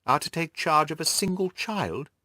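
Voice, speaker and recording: A man's voice: on the high side, animated, normal speed, pushed and bright, no room sound, voice pushed up. A modest young governess from a classic storybook , played by a male reader. The speech is polite and deferential, with varied intonation and a dry, close sound. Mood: politely curious. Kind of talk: audiobook character voice.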